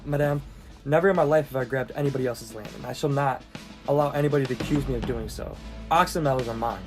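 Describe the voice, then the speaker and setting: An actor playing a nervous, touchy hypochondriac landowner in a stage comedy, speaking in a fussy, formal way that stumbles when agitated. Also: male voice, mid-range voice, quick pace, a little mellow, faint music behind, natural voice. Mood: outraged. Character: nervous, touchy hypochondriac landowner, a male suitor prone to outrage.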